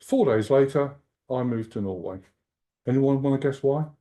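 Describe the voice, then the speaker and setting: A man's voice: medium pitch, moderate pace, warm tone. An Englishman in his sixties giving a humorous, self-deprecating keynote talk at a conference. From England. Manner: joking, self-deprecating, chatty. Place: conference hall.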